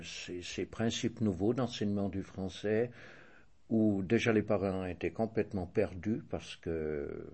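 Older Swiss man, low husky voice. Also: a little mellow. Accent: Swiss French. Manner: measured, hesitant, restarts and self-corrects. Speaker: interviewee. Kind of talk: casual interview answers.

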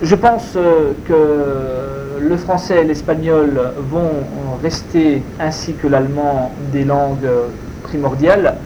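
Man, native Spanish speaker, interviewee; measured and very unhurried, pausing often, with constant noise in the background. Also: on the high side, fairly even intonation. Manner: slow, deliberate, hesitant with frequent fillers. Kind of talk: answering interview questions.

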